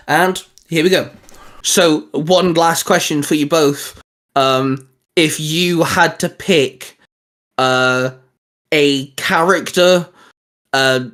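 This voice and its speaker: A man's voice: a little high, a bit bright. A man hosting a casual, geeky panel chat, speaking informally and sometimes swearing. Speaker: host.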